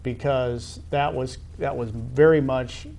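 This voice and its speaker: American guy hosting a sports chat, regular pitch, punchy delivery, some noise in the background. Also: steady tone, slow, darkish tone. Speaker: talk show host, high school athletic director. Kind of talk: conversational sports interview.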